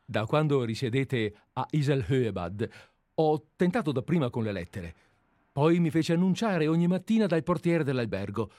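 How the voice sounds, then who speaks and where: A man's voice: mid-range voice, lively intonation, moderate pace, mellow, no room sound, clean studio sound, close to an everyday voice. A man reading the part of an odd, persistent stranger in a radio play, in a formal, insistent way.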